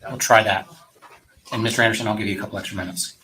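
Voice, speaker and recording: A man's voice: fairly low pitch, fairly even intonation, very fast, bright, forceful tone. A man presiding as judge in a courtroom, giving practical procedural instructions. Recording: slightly noisy courtroom audio.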